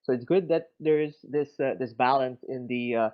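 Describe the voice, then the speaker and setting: A man's voice: medium pitch, moderate pace. A man from Quebec City whose first language is French, speaking English with a Québécois accent in a casual, hesitant discussion full of fillers and restarts. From Quebec City, Canada. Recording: tinny voice chat line.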